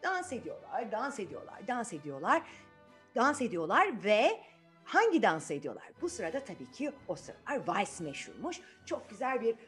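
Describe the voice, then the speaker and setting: A woman storyteller speaks to young children in a high, highly varied voice. She keeps asking questions and repeating phrases, drawing them into the tale. Faint music plays behind her. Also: big pitch swings, normal speed, a bit bright. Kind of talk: animated interactive storytelling for children.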